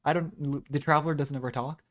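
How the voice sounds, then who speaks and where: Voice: medium pitch, steady tone, brisk, a bit bright. A game streamer giving casual live commentary in a rambling, musing way, drifting into tangents.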